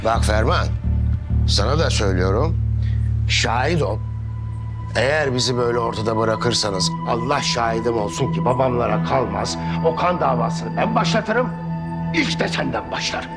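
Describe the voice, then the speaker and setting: A man's voice: mid-range voice, lively intonation, moderate pace, loud music behind the voice, natural voice. An actor playing Mustafa, a middle-aged father who is stern and threatening, swinging between grief and anger. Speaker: actor in a TV drama. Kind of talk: TV drama acting.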